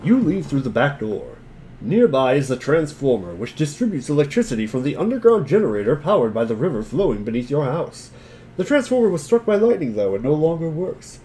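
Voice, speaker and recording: Animated, sardonic man reading aloud. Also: a little high, a little fast, slight background noise. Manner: sardonic, profane. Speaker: narrator and reader of a webcomic dubbed as a dramatic reading. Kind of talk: animated dramatic reading mixed with casual group chat.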